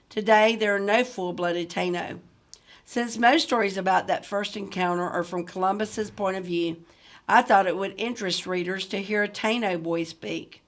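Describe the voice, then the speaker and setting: Woman teacher leading a read-aloud lesson. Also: medium pitch. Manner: addresses listeners directly with questions. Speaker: teacher reading a picture book aloud.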